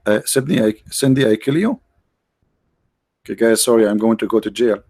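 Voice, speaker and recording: A man's voice: lowish voice, dark tone, dry recording. Sarcastic man on a dry close mic. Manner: sarcastic, mocking, halting with many restarts. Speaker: livestream host. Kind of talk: casual livestream monologue.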